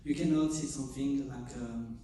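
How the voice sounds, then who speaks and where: A choreographer from Morocco, answering audience questions after a show and searching for English words. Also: male voice, medium pitch, steady tone, normal speed, far from the mic, a crowd in the background. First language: not English. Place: theater stage. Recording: echoey theater heard from afar.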